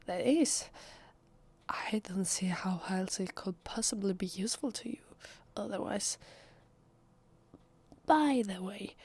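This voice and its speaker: Woman voicing a female vampire in disguise, Italian accent, soft husky half-whisper, slow hesitant delivery, evasive, ASMR roleplay. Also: mid-range voice. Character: evasive, flustered female vampire posing as human.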